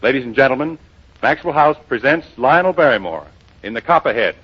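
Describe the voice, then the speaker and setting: A man's voice: medium pitch, animated, a little fast, slightly rough. A man with an American accent narrating as a 1930s radio announcer. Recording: dull 1930s radio broadcast. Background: music.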